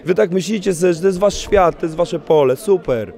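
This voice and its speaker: A man in his thirties talks loosely after a fight, swearing and apologizing, with a gravelly, mellow voice. He speaks into a handheld mic in front of an event press wall. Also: high-pitched, moderate pace. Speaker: interviewed fighter. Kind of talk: post-fight interview. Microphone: handheld interviewer mic.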